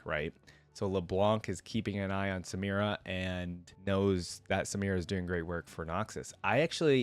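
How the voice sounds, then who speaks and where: Low-voiced man reacting casually, dry close sound. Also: dry recording. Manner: enthusiastic, informal. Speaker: video game fan reacting on his channel. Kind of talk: casual reaction commentary and reading a story aloud.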